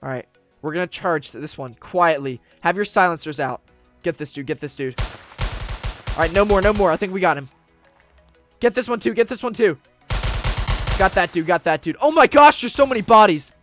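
A young man streaming a roleplay game, leading a team with urgent commands that swing from hushed whispers to shouts, recorded on a desk mic in a small room. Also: high-pitched. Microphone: desk mic, webcam streamer.

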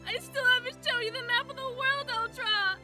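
A woman voicing a frightened, pleading little girl, shrill and desperate, in a dramatic manga dub. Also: steady tone, normal speed. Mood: tearful regret. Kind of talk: dramatic manga voice-over acting. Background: music behind the voice.

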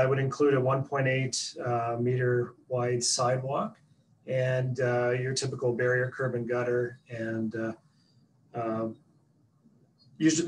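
A man with a Canadian accent, a city staff member answering council questions over a video call in a formal, technical way. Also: medium pitch. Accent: Canadian English. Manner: formal, technical, many fillers. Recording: distant mic with faint room hum.

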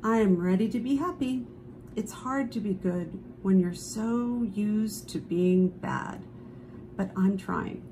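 A woman reading a children's picture book aloud expressively, with dramatic drawn-out emphasis on key phrases. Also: mid-range voice, warm tone, slight background noise, poor audio. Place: indoors at a table, book held before camera.

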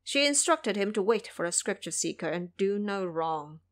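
A woman voicing a deferential male local god in an audio drama, deliberate and respectful. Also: medium pitch, animated, natural voice. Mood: solemn, explaining. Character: deferential, slow-spoken male local earth and mountain gods. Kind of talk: audio drama character acting.

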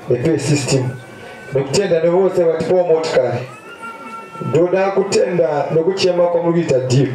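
A man's voice: a little high, mellow. A young man with a Zimbabwean accent giving a funeral address of thanks and tribute. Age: young adult. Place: open-sided stadium stand outdoors. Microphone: handheld microphone over PA. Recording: echoey, distant outdoor PA.

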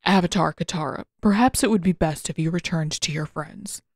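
A woman's voice: lowish voice, a little mellow, no echo, studio quality. A woman voicing a formal, placating older general and uncle in an audiobook story. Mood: formal, careful. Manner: formal, measured, polite.

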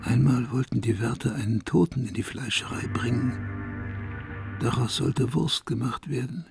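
A man's voice: mid-range voice, normal speed, over loud music, lightly acted. An actor playing a paranoid, vengeful madman released from an asylum, volatile between whispering and cursing in a radio play. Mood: agitated, haunted. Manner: volatile, swinging from breathy whispers to loud cursing.

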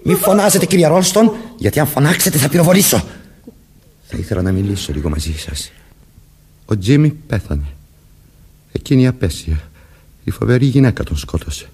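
A man's voice: mid-range voice, very animated, long pauses, darkish tone, slightly rough. An actor playing a murderer who poses as a commanding policeman, vengeful and childlike underneath, in a theatrical radio drama manner. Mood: menacing, childlike. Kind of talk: Greek radio drama acting.

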